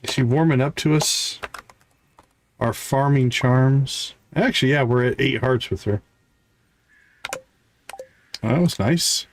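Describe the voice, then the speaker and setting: A man's voice: medium pitch, takes it slowly, long pauses, slight background noise. A man giving casual live gameplay commentary, thinking aloud and chatting with viewers as he plays. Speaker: live streamer playing a farming game.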